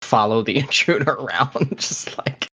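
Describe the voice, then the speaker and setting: A man's voice: mid-range voice, a little slow, sharp tone, slightly rough. A man co-hosting a podcast in casual conversation, talking in a hesitant, conversational way. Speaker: podcast co-host.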